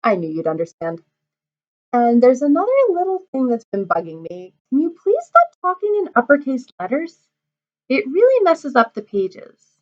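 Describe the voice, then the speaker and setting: A woman's voice: sits high, sing-song, moderate pace, lo-fi sound. A woman voicing a cocky, boastful young male trickster who talks in a cheeky, sarcastic and bossy way.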